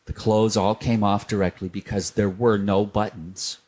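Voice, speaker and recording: Man, storybook reader, slightly low voice, husky tone, gentle and unhurried. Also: little pitch movement. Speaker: storyteller reading a children's book. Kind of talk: children's story reading.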